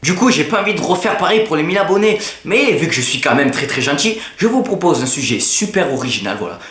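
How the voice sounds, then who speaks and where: A man's voice: fairly high pitch, fast, reverberant. A teenage boy with a Southern French accent, chatting to camera in a casual, self-mocking vlog. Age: teens. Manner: joking, self-mocking, lively banter. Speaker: YouTuber.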